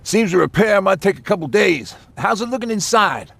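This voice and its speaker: Wary repairman, gravelly, slightly high pitch, practical, video game acting. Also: big pitch swings, normal speed, fairly bright tone, no echo, full character voice. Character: cautious, practical male survivor, the group's repairman.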